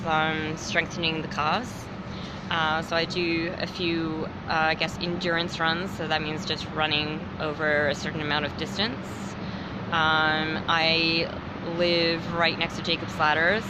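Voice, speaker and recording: A young Australian woman hosting a casual livestream, hesitant with lots of ums, her voice going hoarse. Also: low voice. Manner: hesitant, many ums, greeting viewers. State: losing her voice. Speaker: livestream host. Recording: loud background noise.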